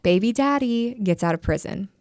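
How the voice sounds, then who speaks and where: A woman's voice: fairly high pitch, expressive, normal speed, darkish tone, dry recording. A woman in her thirties telling her story frankly as a podcast guest, rambling with lots of "like". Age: 30s. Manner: frank, rambling, heavy use of filler "like". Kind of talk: candid personal storytelling.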